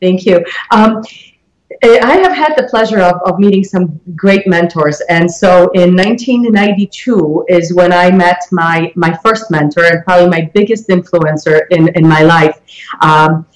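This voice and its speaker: A woman in her forties with a Polish accent, giving reflective interview answers in halting, deliberate phrases. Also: lowish voice, low-quality recording. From Poland. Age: 40s. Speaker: interview guest, mortgage loan originator.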